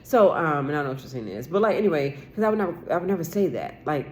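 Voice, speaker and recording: A woman's voice: deep voice, animated, rapid-fire, slight background noise. Rambling, aggrieved woman in her forties. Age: 40s. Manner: rambling and aggrieved, with many restarts and fillers; swears casually and repeats herself insistently. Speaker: vlogger. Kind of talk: rambling solo vlog monologue.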